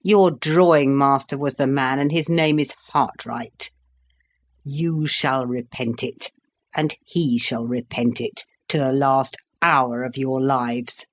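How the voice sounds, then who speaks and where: A man doing a cold, menacing nobleman. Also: close to an everyday voice. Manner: low, slow, menacing. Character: cold cruel aristocratic husband, menacing and sneering.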